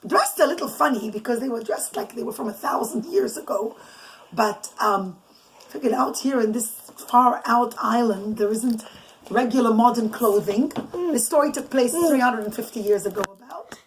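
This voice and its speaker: A mother telling an informal, improvised religious bedtime story in an animated, conversational way, mixing in Hebrew and Yiddish terms. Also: a little high, normal speed, rough recording. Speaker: mother telling bedtime stories to children and listeners. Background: noise, clicks, small children nearby.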